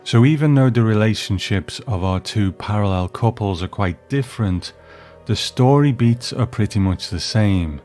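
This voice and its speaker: A man's voice: on the low side, animated, moderate pace, mellow. A man hosting a review, narrating from a script. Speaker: host.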